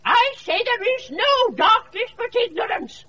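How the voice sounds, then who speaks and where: An actor, a man, playing a solemn, mocking sham priest with sanctimonious drawn-out wailing in a radio Shakespeare play. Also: very high-pitched, very animated, pushed and bright, heavily acted. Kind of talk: 1980s British radio Shakespeare acting. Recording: muffled, noisy off-air radio recording.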